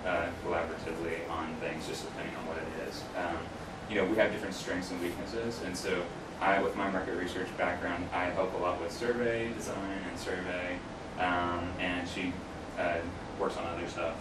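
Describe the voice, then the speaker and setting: Deep voiced guy in his 30s answering questions in a classroom, kinda halting, far from the mic. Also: level tone. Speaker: guest speaker, UX researcher. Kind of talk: informal career talk to a class, answering questions. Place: small classroom, indoors. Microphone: distant camera microphone. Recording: roomy, distant mic, clear background noise.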